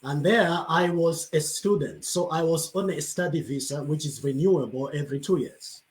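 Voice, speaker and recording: Zambian-born pastor, higher voice, measured. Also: male voice. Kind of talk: panel conversation answer.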